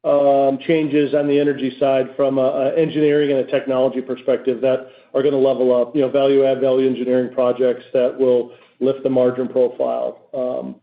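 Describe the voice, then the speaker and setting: A man speaking as a company executive, giving halting, measured answers on a business conference call. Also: medium pitch. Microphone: conference call line. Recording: narrow phone-line audio.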